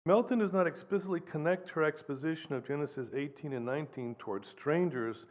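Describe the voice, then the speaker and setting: Man in his sixties reading an academic paper. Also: a little high. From United States and Guatemala. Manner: measured, reading from a text. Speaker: lecturer.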